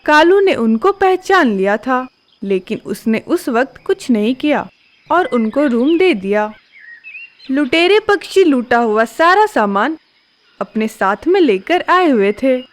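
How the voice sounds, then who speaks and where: A woman narrating a children's cartoon story. Her voice is high and she tells the story at a steady, clear pace, with a faint noise behind it. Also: slow.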